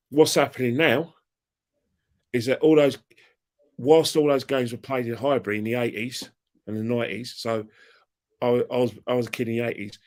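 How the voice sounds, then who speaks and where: A man, ranting in a heated casual chat about football fandom and trading colourful insults. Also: medium pitch. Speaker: fan channel guest.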